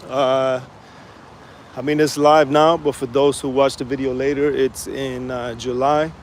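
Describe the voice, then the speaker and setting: A man from Sweden casually chatting to viewers while walking outdoors by the water, relaxed and rambling with many fillers. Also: medium pitch. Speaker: vlogger. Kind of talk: casual live-stream walking vlog. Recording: faint outdoor street noise.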